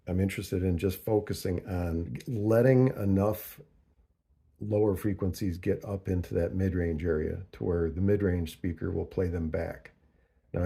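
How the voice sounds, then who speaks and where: A man narrating a casual hands-on tutorial, thinking aloud in a deliberate, halting way as he works through figures. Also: low-pitched, mellow, slightly rough. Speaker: host of a DIY audio tutorial.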